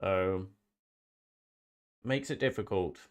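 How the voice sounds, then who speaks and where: A man's voice: deep voice, slow. Casual rambling man chatting on stream. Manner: rambling, often repeats phrases. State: slight head cold, sniffly. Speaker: streamer hosting a football watch-along. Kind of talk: casual live-stream chat.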